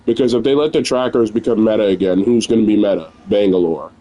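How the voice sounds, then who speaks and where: Casting: young male gamer, low-ish voice, naturally loud, candid rambling commentary. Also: fast, poor audio. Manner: rambling, candid, filler-heavy. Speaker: gamer streamer. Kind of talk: casual gameplay commentary.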